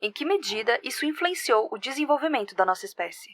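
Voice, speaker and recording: A woman performs as a female research doctor reading her notes in an audio drama. Her voice is a little high, with a formal, precise delivery. The sound is dry, with almost no room. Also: big pitch swings, fast, no room sound. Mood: questioning. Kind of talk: scripted audio drama narration.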